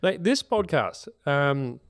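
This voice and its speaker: An Australian man hosting a casual podcast about buying property, talking energetically with a naturally loud voice that he keeps in check. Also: on the high side, slow, dry recording, studio quality. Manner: loud, energetic talker reining in his volume. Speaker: podcast host and buyer's agent.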